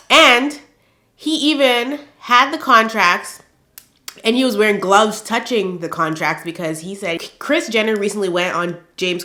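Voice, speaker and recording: A woman in her thirties hosting a gossip channel, sounding nasal and stuffed up from the flu, talking in an animated, chatty way and calling her viewers "girl". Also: fairly low pitch. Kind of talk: casual celebrity gossip chat.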